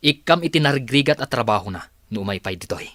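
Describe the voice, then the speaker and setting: An actor playing an arrogant rich company boss with a scolding, imperious manner in a Philippine radio drama. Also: male voice, lowish voice, pushed and bright, no echo, studio quality. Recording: music bed under radio drama.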